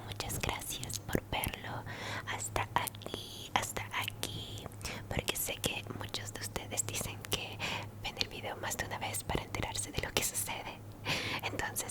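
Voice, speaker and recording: A woman whispers in ASMR style, softly repeating words and phrases. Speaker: ASMR creator and channel host.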